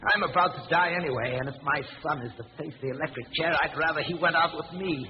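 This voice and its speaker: A man's voice: fairly high pitch, quick pace, crowd noise, close to an everyday voice. An actor playing an embittered, vengeful middle-aged father turned villain, intense and melodramatic in a 1940s radio drama. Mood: grimly resolved. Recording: muffled old radio, long reverb, noisy.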